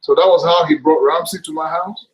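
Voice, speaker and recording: A middle-aged man, a guest actor chatting casually on a video call, relaxed and jokey. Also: on the high side, a little fast, muffled recording. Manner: relaxed, laughs often, jokey. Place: indoors, room with curtains. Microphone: webcam mic on video call.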